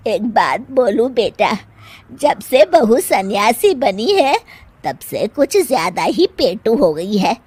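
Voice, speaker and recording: A woman's voice: a little high, sing-song, takes it slowly, darkish tone, husky, dry recording, exaggerated voice. A woman voicing a nagging, wily elderly mother-in-law in a Hindi cartoon story, with theatrical exclamations. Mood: aggrieved.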